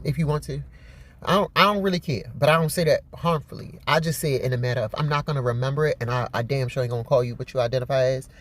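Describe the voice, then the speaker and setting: A woman with a deep voice giving blunt, profane, rambling commentary on a news story, laughing often. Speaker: vlogger.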